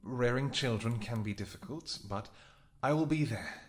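A man's voice: mid-range voice, lightly acted. A man playing a doting vampire king. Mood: tender. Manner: soft-spoken and affectionate, with hesitant pauses. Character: protective royal vampire husband, doting and tender. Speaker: audio roleplay performer. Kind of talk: scripted audio roleplay to a listener.

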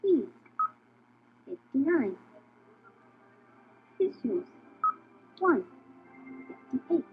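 A woman's voice: high-pitched, sing-song, extremely slow, long pauses, heavily acted. A woman voicing an impassive talking cash register that calls out items and prices in a clipped, mechanical, detached way. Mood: flat, mechanical. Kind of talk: stylized audio theater announcements. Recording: tinny band-limited sound, faint music.

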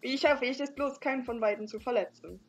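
Young female let's-play host, somewhat high voice, giggly, light music underneath. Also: brisk, breathy edge, rough recording. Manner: giggly, reads lines playfully. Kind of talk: reading visual novel dialogue aloud with joking asides.

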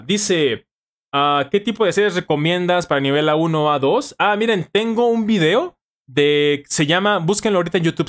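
Male streamer, 30s, Mexican accent, voice a little high, informal tutor chatting with viewers on a close studio mic. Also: fairly bright tone. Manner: informal, conversational, repeats phrases for emphasis. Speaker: live-stream host, language-learning tutor. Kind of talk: casual live chat answering viewer questions. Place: small home studio room, indoors. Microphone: studio microphone on boom arm, close.

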